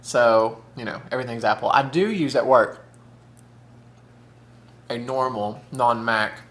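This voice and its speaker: A man's voice: medium pitch, measured pace, fairly bright tone. A man, chatting casually and campily while eating on camera, sometimes with his mouth full. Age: 30s. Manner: chatty, camp, says "y'all" and "honey". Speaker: mukbang vlogger.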